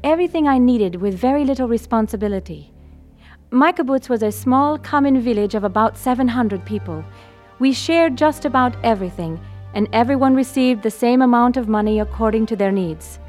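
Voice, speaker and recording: A young woman with an Israeli accent tells her own story in an earnest, reflective voice. Her voice sits high. Soft music plays faintly behind her. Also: animated, a little fast. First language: Hebrew. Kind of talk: radio drama narration and acting.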